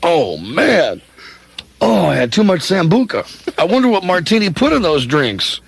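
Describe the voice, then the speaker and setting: A man's voice: high voice, sing-song, vocal fry, husky, exaggerated voice. A man playing a desperate young everyman who stammers through a comic radio play. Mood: skeptical, wry. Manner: halting, stammering.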